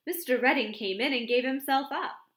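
A woman voicing a measured, dryly reserved middle-aged vicar, staying close to her own voice. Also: sits high, fairly bright tone, voice pushed up. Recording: roomy echo.

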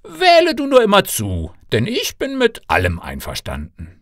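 An eager fairy-tale king and father, acted out by a man. He declaims with great emphasis and swings high and wide in pitch. The sound is close and dry. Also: huge pitch swings, normal speed, a bit bright, dry recording, heavily acted. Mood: doting, expansive. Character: doting, eager old king and father, growing impatient.

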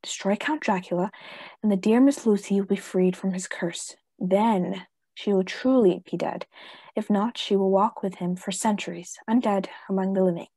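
A woman playing a solemn, insistent vampire-hunting professor in a radio play, husky and emphatic. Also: mid-range voice, normal speed, dark tone, no echo. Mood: grave, pleading. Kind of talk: stage-style radio play acting.

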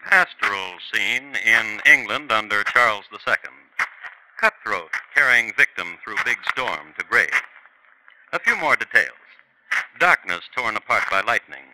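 An actor playing a wry crime-story narrator, dry, clipped and sardonic, in scripted 1950s radio style. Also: male voice, fairly low pitch, animated, measured pace. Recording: old radio recording, faint noise.

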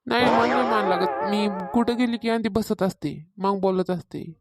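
A woman's voice: medium pitch, moderate pace, soft background music, natural voice. A woman voicing a meddling, insistent older village gossip in a cartoon comedy, with a rural Maharashtrian accent. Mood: nosy. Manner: insistent, tattling. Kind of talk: Marathi cartoon comedy dialogue.

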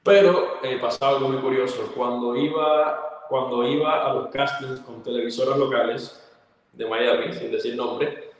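This young man speaks in a Cuban accent, fluent and storytelling, with fillers between thoughts. His voice rings out in a bare, reverberant room with a long tail. The pitch sits at an ordinary middle for a man. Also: normal speed. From Cuba. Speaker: guest, actor and model. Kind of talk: casual interview answers. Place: indoor room, plain wall. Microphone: video call.

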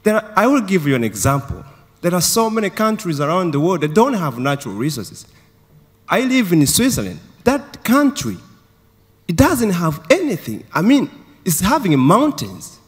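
A man's voice: sits high, wildly swooping pitch, moderate pace. A man from Tanzania making an earnest comment from the floor of a large conference hall into a handheld microphone. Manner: earnest, gesturing with his hands. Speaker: audience member asking a question. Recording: long hall reverb.

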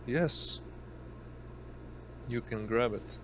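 A man running a tabletop game, talking casually and a little haltingly. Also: medium pitch, a bit bright, a little hiss or hum. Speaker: game master.

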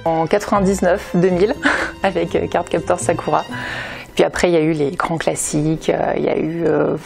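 A woman's voice: lowish voice, level tone, normal speed, vocal fry, darkish tone, soft background music. A woman in her twenties, a nurse, answering interview questions with some hesitation. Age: 20s. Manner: hesitant, with fillers. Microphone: lapel mic.

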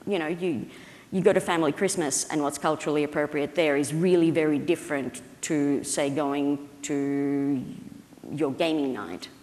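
A woman in her thirties giving an explanatory, conversational conference keynote, with halting, hesitant phrasing. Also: deep voice, fairly even intonation, a little mellow. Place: indoor stage at a conference, at a podium. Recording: reverberant hall sound.

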